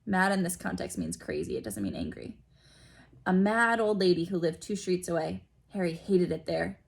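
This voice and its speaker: A woman's voice: fairly low pitch, very animated, moderate pace, creaky. A woman reading a children's story aloud expressively, like a school principal, with explanatory asides.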